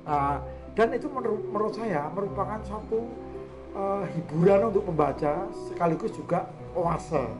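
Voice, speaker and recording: Male discussion guest, fairly high pitch, halting explanatory delivery, clear music behind. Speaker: guest speaker on cartoon art. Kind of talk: conversational interview discussion.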